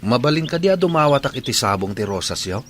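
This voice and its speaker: A man's voice: mid-range voice, big pitch swings, fast talker, a bit bright, slight background noise. A man playing a lovesick, stubborn young construction worker in a radio drama, earnest and plaintive. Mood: earnest, lovestruck.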